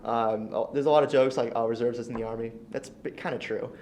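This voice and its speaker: A young man is a guest on a casual podcast. He talks fast at a middling pitch and jokes along in laid-back, slangy phrases. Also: fairly even intonation, darkish tone, a little hiss or hum. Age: young adult. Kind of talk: casual chat.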